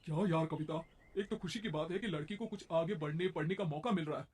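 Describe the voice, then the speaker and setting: A man's voice: a little high, quick pace, a little mellow. A man playing a reproachful but conciliatory middle-aged father in a moral-story cartoon, chiding wearily. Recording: clear background noise.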